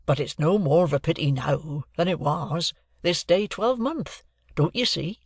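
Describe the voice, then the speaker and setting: A man voicing a kindly, slow-spoken village blacksmith with plain country speech, in a storybook reading. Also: on the high side, breathy edge, dry recording, clean studio sound. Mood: gentle, homely.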